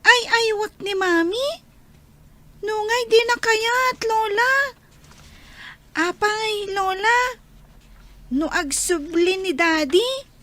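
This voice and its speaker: A woman's voice: very high voice, slow pace, pauses often, a bit bright, exaggerated voice. A woman playing a young child with an Ilocano accent in a radio drama, asking plaintive questions. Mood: curious, pleading. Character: young child questioning grandmother about absent father.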